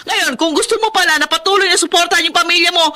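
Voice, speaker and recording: A woman's voice: very high-pitched, fast talker, pushed and bright. An actress with a Filipino accent playing an aggrieved, strong-willed young wife and mother in a radio drama. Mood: indignant, hurt. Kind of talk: emotional radio drama acting and narration.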